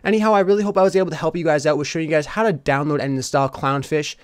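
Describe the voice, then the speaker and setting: A man giving a casual step-by-step software tutorial as a voice-over, addressing viewers informally. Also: a little high, steady tone, very fast, clean tone. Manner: instructional, addresses viewers informally as "you guys". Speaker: tutorial host.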